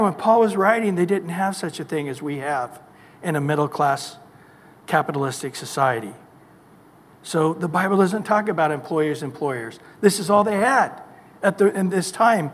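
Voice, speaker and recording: A man's voice: sits high, long reverb tail. A male pastor preaching a sermon emphatically, asking the congregation rhetorical questions. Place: church.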